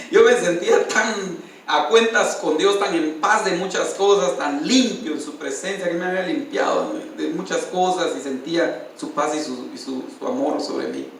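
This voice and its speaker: A man's voice: fairly high pitch, normal speed, slight background noise. Passionate male pastor in an echoey hall. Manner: emotional testimony, addresses listeners as brother. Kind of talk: sermon. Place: church. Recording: distant, echoey church hall.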